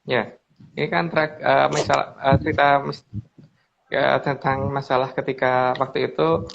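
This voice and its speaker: Gravelly man talking in a loose ramble. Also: medium pitch, level tone, unhurried, a bit bright, a little hiss or hum. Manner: rambling, with restarts and addressing the reader as Mbak. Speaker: presenter explaining legends. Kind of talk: casual spoken commentary on slides. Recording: dull lo-fi recording.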